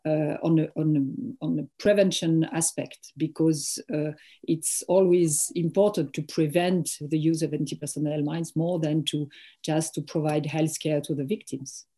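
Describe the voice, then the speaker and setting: Woman, earnest panelist, dark mellow tone, video-call audio. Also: sits low, steady tone, a little slow. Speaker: panelist, NGO leader.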